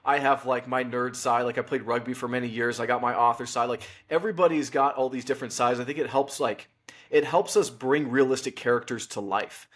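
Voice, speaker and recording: A man's voice: medium pitch, fairly even intonation, brisk, a bit bright. Young adult male host, affable and conversational, mid-range voice. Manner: friendly, chatty, laughs easily. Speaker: podcast host. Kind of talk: casual podcast interview.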